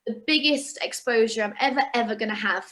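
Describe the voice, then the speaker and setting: Chatty woman with a fairly high voice. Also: fairly quick, fairly bright tone. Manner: chatty, with frequent restarts and fillers. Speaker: guest singer and actress. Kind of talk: casual interview chat.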